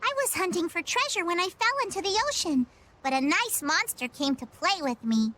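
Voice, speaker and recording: Very high, bright plucky girl adventurer. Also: expressive, moderate pace, exaggerated voice. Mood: carefree, breezy. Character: plucky, carefree young girl treasure hunter who sails. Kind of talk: English video game dub acting.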